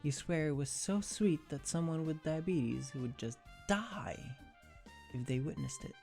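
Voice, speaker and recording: Fan fiction narrator, mellow tone, gravelly fry, scripted storytelling, music underneath. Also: medium pitch, lively intonation, measured pace. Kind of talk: second-person fan fiction narration, ASMR.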